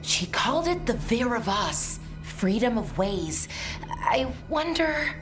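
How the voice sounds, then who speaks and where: A woman's voice: a little high, sing-song, slow, over music. A woman playing a thoughtful, curious scholar mage in a fantasy video game, musing and a little hesitant.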